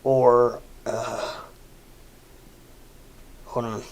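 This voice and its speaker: A man rambling through a casual livestream, stuffy and congested, halting with lots of fillers and restarts. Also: medium pitch, level tone, creaky, darkish tone. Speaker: crypto livestreamer. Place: home room, seated at a desk.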